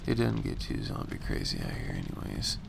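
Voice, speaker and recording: Raspy, gravelly young man gaming. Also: rumbling low, wildly swooping pitch, brisk, background noise. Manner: slangy, rambling, laid-back. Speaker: gaming channel host. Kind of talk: casual gameplay commentary.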